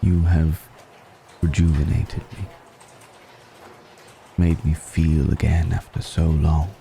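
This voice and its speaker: Man playing a very deep, gravelly vampire noble. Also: level tone, dark tone, slight background noise, full character voice. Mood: tender, admiring. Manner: slow, deliberate, formal wording with long pauses. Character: ancient, suave, seductive male vampire noble, affectionately amused. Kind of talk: intimate ASMR roleplay monologue.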